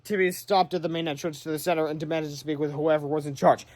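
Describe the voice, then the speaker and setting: Male storybook reader: high register, animated narration, occasional self-corrections, dry close recording. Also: quick pace, no echo. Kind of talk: children's book read-aloud narration.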